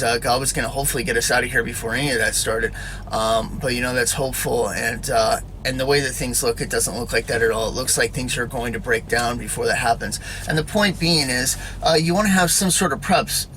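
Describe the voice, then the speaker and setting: Male preacher, loose conversational sermon, bright voice, faint background hum. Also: medium pitch, rough recording. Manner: rambling, repeats "all right?" and "you know". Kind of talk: casual spoken sermon to camera.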